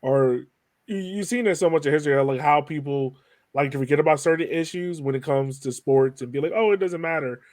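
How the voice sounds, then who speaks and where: A man's voice: a little high, a little fast, darkish tone, no echo. A man hosting a podcast, talking in a casual, conversational interview style. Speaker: podcast host.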